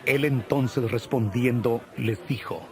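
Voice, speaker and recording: Solemn male Bible narrator, mid-pitched. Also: crowd noise. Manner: solemn, measured storytelling. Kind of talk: scripted narration of dramatized audio Bible. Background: music.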